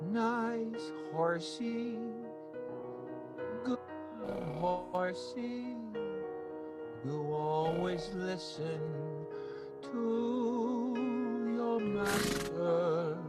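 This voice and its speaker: An actor playing a dreamy theatrical crooner in an improvised radio soap, drawn-out and sing-song over piano music. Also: medium pitch. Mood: dreamy crooning.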